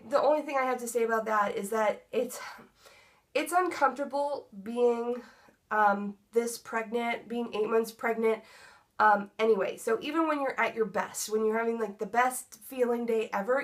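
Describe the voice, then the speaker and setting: A young woman vlogging a casual update, her voice congested from a cold, chatty with many ums and likes. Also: a little high. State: congested, stuffy nose. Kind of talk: casual pregnancy update vlog.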